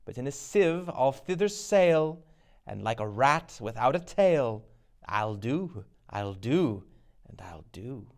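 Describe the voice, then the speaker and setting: A man performs an old witch from a classic stage tragedy, spiteful and prophetic. The chant is slow and creaky, with wild leaps up in pitch and sudden cries. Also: big pitch swings, heavily acted. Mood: vengeful. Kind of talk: dramatic Shakespeare reading.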